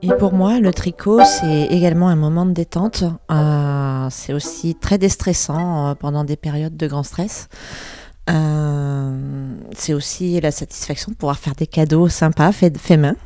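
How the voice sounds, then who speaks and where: A woman in her forties chatting casually about her knitting, relaxed and laughing often. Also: low-pitched, a little slow, soft background music. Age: 40s. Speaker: knitter sharing her experience. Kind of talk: casual chat.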